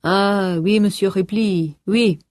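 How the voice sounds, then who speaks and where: A woman's voice: fairly low pitch, darkish tone, no room sound, clean studio sound. Woman voicing a courteous French policeman. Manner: slow, courteous French-accented English. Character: polite French male police officer.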